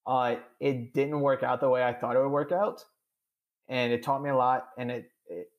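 A man's voice: mid-range voice, fairly even intonation, moderate pace, highs cut off. A young man with a strong stutter, answering podcast interview questions candidly, with many repeated sounds and long strings of "uh". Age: young adult. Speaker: podcast guest.

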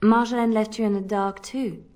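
Young female spy for a fantasy game, an actress in the role: mid pitch, earnest, anxious, principled. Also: steady tone, moderate pace, a little mellow, close to an everyday voice. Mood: worried. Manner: earnest, pleading, hesitant. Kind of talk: video game voice acting.

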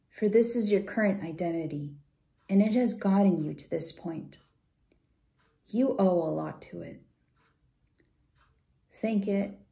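A woman leading a guided visualization in a soft, gentle, soothing way, unhurried with pauses and at times breathy. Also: fairly low pitch. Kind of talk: guided meditation, soft slow instruction.

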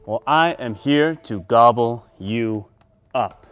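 A man voicing a mean, lumbering bridge troll who speaks in a slow, threatening way for a children's story. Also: mid-range voice, fairly bright tone, clean tone. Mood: menacing.

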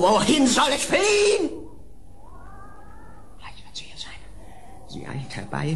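An actor playing a tormented, terrified nobleman going mad in an audio drama, speaking in feverish, halting repetitions that break into whispers. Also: on the high side, gravelly, full character voice. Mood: frenzied. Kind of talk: 1970s German audio drama acting. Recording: noisy old audio drama recording.

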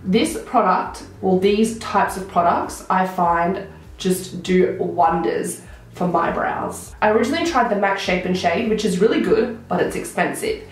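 A young woman with a croaky voice, chatting enthusiastically through a makeup tutorial to a close camera in a small room. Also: on the low side, a little slow. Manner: chatty, enthusiastic product talk. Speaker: beauty vlogger. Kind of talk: casual makeup tutorial chat. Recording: very echoey room.

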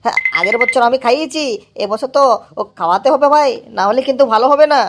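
A pushy male drinking buddy , played by a woman, nags in a fairly high cartoon voice. He is insistent, stumbling into his sentences, over slight noise. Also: expressive, quick pace. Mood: nagging. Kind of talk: comedy cartoon dialogue.

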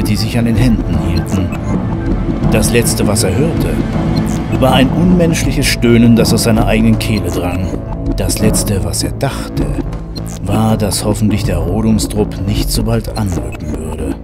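A man delivering scripted narration for a horror audio drama. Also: lowish voice. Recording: loud music under the voice.

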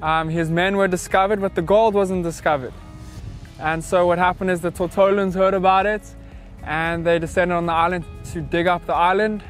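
A man's voice: sits high, moderate pace, soft background music. Young man telling a legend on a boat. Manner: relaxed, with frequent ums. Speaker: boat captain. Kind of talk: casual storytelling. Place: outdoors on a sailboat deck at sea.

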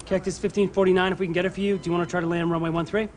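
Man playing a rapid, urgent American air controller. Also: high-pitched, little pitch movement, over loud music, lightly acted. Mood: urgent, tense. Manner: terse, urgent. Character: urgent, anxious male air traffic controller. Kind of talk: film acting. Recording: noisy film control room.

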